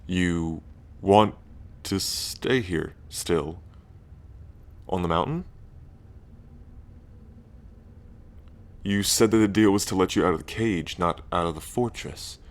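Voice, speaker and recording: A man playing a lonely ancient dragon king in roleplay ASMR, proud yet bashful, with halting, deliberate phrasing. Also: low-pitched. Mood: conceding, touched. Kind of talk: roleplay ASMR audio drama.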